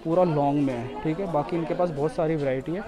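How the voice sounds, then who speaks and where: A man's voice: a little high, fast. A man giving a casual market tour as a vlogger, often repeating himself and addressing viewers as brother, recorded outdoors in a street market. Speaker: vlogger and host. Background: crowd chatter.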